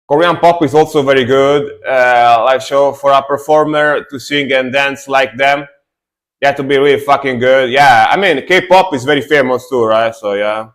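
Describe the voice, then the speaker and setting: A young adult man casually chatting with his stream chat while reacting to metal music, relaxed and talkative. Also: medium pitch, moderate pace. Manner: relaxed, chatty, answers chat, fillers and restarts.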